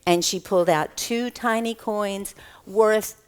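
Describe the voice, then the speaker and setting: A woman's voice: mid-range voice, slow pace, clean tone. A woman telling Bible stories live to children in a theatrical way, with repetition and questions. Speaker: children's storyteller at church Bible school.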